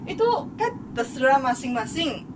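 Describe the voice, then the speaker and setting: A woman's voice: sits high, big pitch swings, moderate pace. A Malaysian woman vlogging an indignant rant, switching between Malay and Indonesian speech. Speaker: YouTuber. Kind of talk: ranting vlog monologue. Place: played from another video. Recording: distant mic, noisy street ambience.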